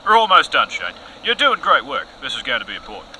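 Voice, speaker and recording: A man's voice: mid-range voice, wildly swooping pitch, fast, sharp tone, slight background noise, exaggerated voice, poor audio. A man playing a pompous, overzealous TV news anchor in an audio comedy, earnest and dramatic. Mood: eagerly reassuring.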